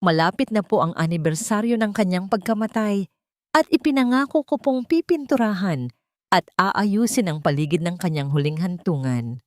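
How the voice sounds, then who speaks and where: A woman's voice: mid-range voice, expressive, normal speed, darkish tone, dry recording, studio quality, lightly acted. A woman voicing a meek, polite daughter-in-law in a drama story, pleading while holding in her anger.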